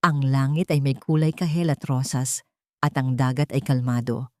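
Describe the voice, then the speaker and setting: A woman's voice: a bass voice, steady tone, moderate pace, warm tone, dry recording. A woman narrator delivers a scripted dramatic story. The recording is dry and close, with no room sound around her.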